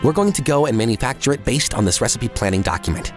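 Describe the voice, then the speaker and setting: A man's voice: mid-range voice, expressive, quick pace, music in the background, close to an everyday voice. A man playing an earnest, polite young businessman who narrates his own story in a comic drama dub. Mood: narrating, concerned. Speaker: voice actor narrating and playing the lead.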